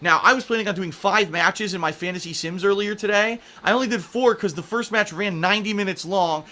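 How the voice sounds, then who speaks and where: A man with a high, bright, strained voice talks up his own video with excited hype. His voice is audibly hoarse. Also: fairly quick. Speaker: gaming video creator. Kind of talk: hyped video update.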